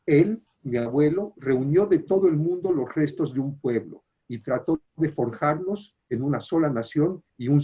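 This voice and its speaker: A man with a husky, slightly breathy voice moderates an online talk. He reads formally and steadily, and his audio is dull and lo-fi, like a video call. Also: fairly high pitch. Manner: formal, measured reading with hesitant fillers when improvising. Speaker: webinar moderator. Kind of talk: reading an introduction, then moderating questions.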